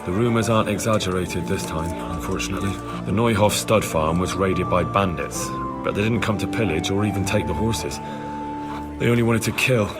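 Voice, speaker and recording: Man playing a low-voiced, earnest young medieval villager. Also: fairly quick, loud music behind the voice, natural voice. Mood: sombre. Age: young adult. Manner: plain-spoken, earnest, insistent. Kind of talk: video game cutscene acting.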